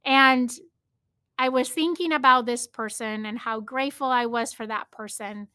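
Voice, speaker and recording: A woman from Spain with a Spanish accent talks through her own podcast in an earnest, storytelling way, leaning on "like" as she goes. Her voice sits high and bright, and she takes her time. The sound is close and dry. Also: fairly even intonation, normal speed, clean tone, no room sound. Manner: earnest, storytelling with frequent "like" fillers. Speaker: podcast host. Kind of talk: reflective solo podcast talk.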